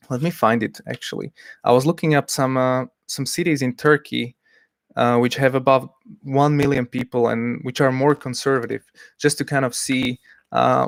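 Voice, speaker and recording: Guy doing his first livestream, chatty and a bit hesitant, reading the chat out loud, dry close mic. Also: medium pitch, gravelly, no echo. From Slovakia. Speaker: livestream host.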